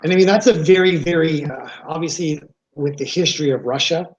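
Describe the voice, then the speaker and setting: A man's voice: a little high, brisk, slightly rough. Male interviewer on dull lo-fi audio. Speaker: interview host. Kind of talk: earnest conversational interviewing.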